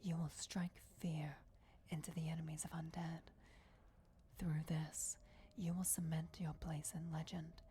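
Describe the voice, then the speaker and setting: A woman's voice: low voice, level tone, measured pace, warm tone, slight background noise. A woman voicing a regal, commanding vampire goddess in a solemn whispered fantasy ASMR roleplay. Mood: proud, commanding. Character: ancient vampire goddess, commanding, softly menacing, bestowing destiny.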